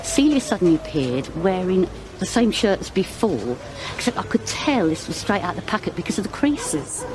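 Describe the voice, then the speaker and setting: Audio drama barmaid, fifties: blunt, clipped, lowish voice over music. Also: female voice, sing-song, moderate pace. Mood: recalling. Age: 50s. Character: fifty-something chain-smoking barmaid, guarded and blunt. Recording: music behind the voice.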